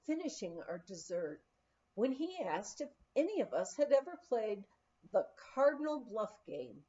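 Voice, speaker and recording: Expressive woman storyteller, unhurried and lively. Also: a little high, highs cut off. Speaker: audiobook reader. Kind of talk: expressive memoir reading, storytelling.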